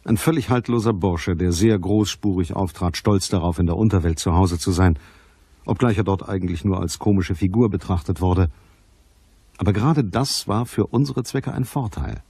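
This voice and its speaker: Hard-boiled detective, radio play, lowish raspy voice, dry, matter-of-fact, commanding. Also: male voice, normal speed, warm tone. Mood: wry narration. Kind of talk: German crime radio play, dialogue and narration.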